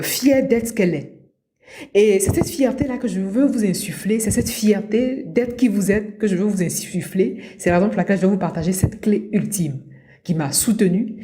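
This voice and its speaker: A woman's voice: lowish voice, mellow. A middle-aged woman from Ivory Coast, giving a motivational coaching talk in a didactic way, repeating key points for emphasis. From Abidjan, Ivory Coast. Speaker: personal development coach, podcast host.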